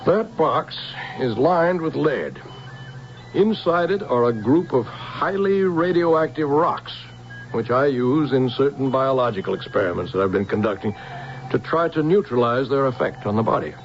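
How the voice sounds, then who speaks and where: An actor playing an old, cornered but stubborn robot scientist in a radio drama, wary, halting and defiant. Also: male voice, medium pitch, expressive, a little hiss or hum, close to an everyday voice. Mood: evasive, nervous. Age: older. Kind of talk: 1970s radio drama acting. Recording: muffled vintage radio recording with music.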